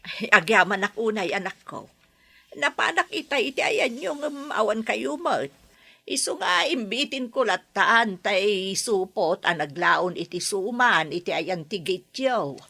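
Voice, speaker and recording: Brief: expressive daughter, bright lively female voice. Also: mid-range voice, a little slow, gravelly. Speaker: daughter in a family drama. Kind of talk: Ilocano radio drama acting.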